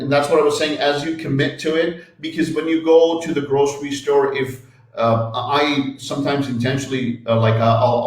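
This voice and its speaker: A man from Canada talks at a middle pitch in an animated way, often stumbling and restarting his sentences. His voice rings with heavy room echo over a video call. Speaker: guest. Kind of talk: casual interview chat.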